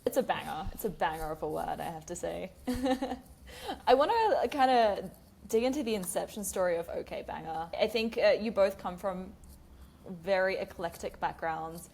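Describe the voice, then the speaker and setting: A woman's voice: lowish voice, lively intonation, normal speed, gravelly, darkish tone, a little hiss or hum. A woman from New Zealand with an Australian accent, hosting a casual interview and laughing often between questions. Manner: giggly, chatty, lots of fillers. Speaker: podcast host.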